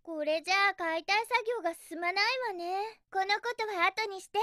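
A young cartoon hedgehog heroine from a video game, with an extremely high, girlish voice. She is perky and a little bossy, speaking with feminine energy and a bright, forceful edge. The sound is dry and close. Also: moderate pace, dry recording. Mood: concerned, thoughtful. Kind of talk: Japanese video game character voice acting.

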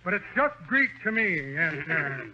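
An actor playing a pompous, wordy gentleman with grand airs in 1930s radio comedy. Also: sits high, sing-song, unhurried, a little hiss or hum, heavily acted. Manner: pompous, wordy, repeats "yes". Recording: muffled 1930s radio recording.

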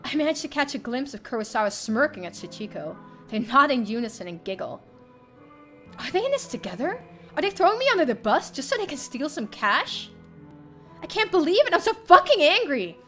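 A woman's voice: sits high, very animated, fairly quick, fairly bright tone. A young adult woman streamer reading a visual novel aloud in dramatic first-person narration. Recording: faint music behind.

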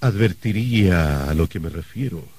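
Husky, gleefully menacing uncle. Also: male voice, sits low, lively intonation, darkish tone, dry recording. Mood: mocking, ominous. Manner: theatrical, halting, sardonic. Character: gleeful, mocking, menacing uncle, a murdered novelist host. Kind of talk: melodramatic radio drama acting.

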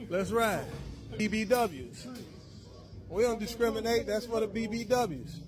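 A man's voice: high voice, measured pace. A man in his forties filming in a large indoor gym on a handheld phone, hyping lifters with chanted catchphrases and counting reps. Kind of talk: hype commentary on a workout. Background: loud music.

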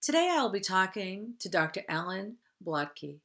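A middle-aged woman hosting in a measured, formal way, as if presenting from a script. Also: mid-range voice, sing-song, fairly bright tone. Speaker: host, counsellor.

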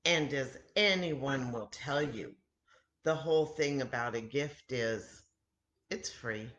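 A woman in her sixties talking and reading to children in simple, deliberate phrases with frequent pauses, as a story time host. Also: rumbling low, a bit bright. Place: home kitchen, indoors. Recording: roomy echoey home webcam recording.

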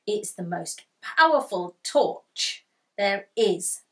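A woman voicing an excitable, boastful young male tiger in a children's storybook, stretching words for emphasis. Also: mid-range voice, takes it slowly. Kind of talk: children's storybook character read aloud.

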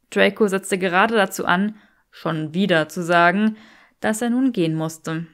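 A woman reading an audiobook, telling the story expressively in a measured, wry manner. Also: fairly low pitch. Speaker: audiobook reader. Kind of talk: expressive scripted fiction narration in German.

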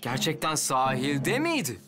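A man's voice: on the high side, wildly swooping pitch, a little slow. A man voicing a concerned, practical grown man in a children's cartoon dub. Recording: loud music behind the voice. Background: music.

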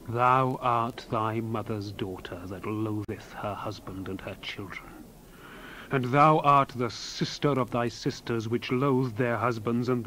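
A man voicing a wrathful God in a dramatized scripture reading, declaiming solemnly and swinging between forceful cries and hushed near-whispers. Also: mid-range voice, a little hiss or hum, natural voice, low-quality recording. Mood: hushed, grave. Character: wrathful male God pronouncing solemn judgment.

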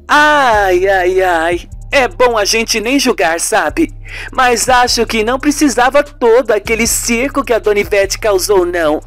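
A man's voice: high-pitched, lively intonation, unhurried, a bit bright, soft background music, pitched above the performer's own voice. A man voicing a gossipy, suspicious wife in a radio serial, with an emphatic, colloquial way of talking. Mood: indignant.

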